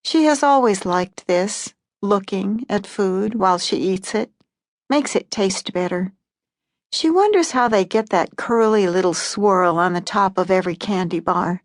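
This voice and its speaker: A woman reading literary short fiction as an audiobook narrator in a calm, even storytelling manner. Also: medium pitch, no echo, clean studio sound.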